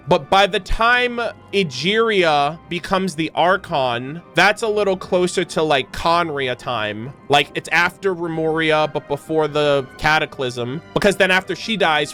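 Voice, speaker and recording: A man's voice: fairly high pitch, fairly bright tone. A man giving casual, off-the-cuff commentary as a gaming content creator, rambling as he thinks aloud. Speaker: video game reaction content creator. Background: background music.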